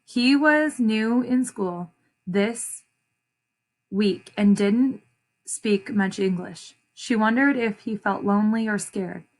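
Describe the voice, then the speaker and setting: A woman reading a picture book aloud like a teacher, deliberate and expressive, pausing to explain and repeat phrases for young listeners. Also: mid-range voice. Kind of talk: children's read-aloud with short explanations.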